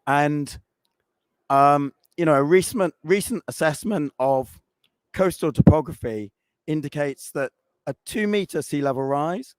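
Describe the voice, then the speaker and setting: A man with a croaky, hoarse voice speaking on a panel, hesitant with many fillers and restarts. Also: on the high side, a little slow, no room sound. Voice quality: croaky, losing his voice. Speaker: scientist presenting at a panel. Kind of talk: panel talk.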